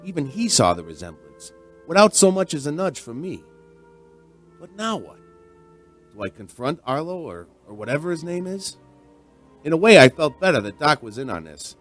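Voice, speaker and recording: A folksy, animated bartender storyteller. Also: male voice, fairly high pitch, normal speed, long pauses, soft background music. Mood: reflective storytelling. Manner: conversational, storytelling. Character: folksy, chatty male bartender telling his strange tale. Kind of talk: audio drama narration and dialogue.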